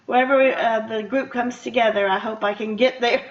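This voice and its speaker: A woman's voice: a little high, steady tone, normal speed, lo-fi sound. A woman hosting a radio show, chatting and reading scripted announcements. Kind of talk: friendly interview sign-off and scripted sponsor reads.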